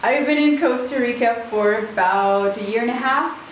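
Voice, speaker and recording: A woman's voice: a little high, normal speed, far from the mic, highs cut off. A woman from Switzerland, chatting casually as an interview guest and rambling as she searches for words. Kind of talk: casual chat. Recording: very echoey room with faint noise.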